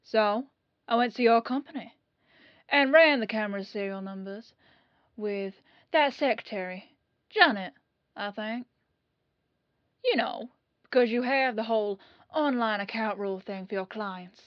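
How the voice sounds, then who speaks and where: A woman playing a dogged police detective in an audio roleplay, questioning in a folksy, falsely casual way with pauses. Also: fairly high pitch, no echo, muffled recording, close to an everyday voice. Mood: quietly suspicious. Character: dogged female police detective, casually probing, quietly suspicious.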